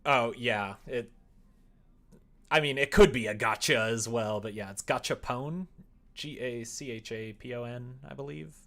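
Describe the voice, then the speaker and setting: A man's voice: medium pitch, measured pace, fairly bright tone. A man live streaming as he develops a visual novel, casually thinking aloud and chatting with viewers as he works. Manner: thinks aloud, mutters instructions while working, chats back to viewers. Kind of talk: casual livestream chat while coding, often muttering to himself. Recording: faint background noise with keyboard typing.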